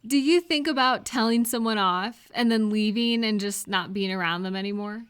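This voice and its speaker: A woman playing a kind, caring friend in a comfort roleplay audio, speaking gently and reassuringly with soft questions. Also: mid-range voice, moderate pace, clear, resonant tone, natural voice. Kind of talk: comfort audio roleplay, one-sided conversation.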